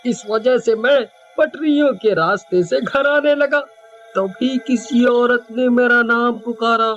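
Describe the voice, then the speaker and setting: A man playing a frightened, fretful village husband in a horror cartoon, with drawn-out wailing exclamations. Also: very high voice, a bit bright, highs cut off, faint music behind, heavily acted. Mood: panicked. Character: panicky, frightened village railway worker, a husband. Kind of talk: exaggerated Hindi horror cartoon dubbing.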